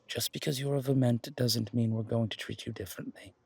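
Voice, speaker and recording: A man's voice: medium pitch, fairly even intonation, a little fast, creaky, a little mellow, breathy edge, dry recording. A man playing a genial, courteous museum curator in a roleplay audio drama, effusive and given to repeating himself. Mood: warm, welcoming. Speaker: voice actor.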